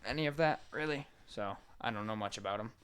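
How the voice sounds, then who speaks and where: A man's voice: on the low side, fast talker, a bit bright, smooth. A man co-hosting a podcast in casual, laid-back chat, often briefly agreeing. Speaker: podcast co-host.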